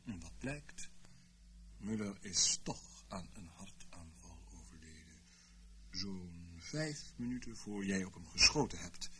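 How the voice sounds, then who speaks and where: Over clear background noise, a cold, commanding spymaster in a radio drama. His low voice swings widely in pitch as he makes dry, measured, sardonic points. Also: lively intonation, fairly bright tone, exaggerated voice. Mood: cold accusation. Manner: measured, drily sardonic, coolly accusing. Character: cool, commanding male intelligence chief. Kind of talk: radio play acting. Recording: clearly noisy old radio play recording.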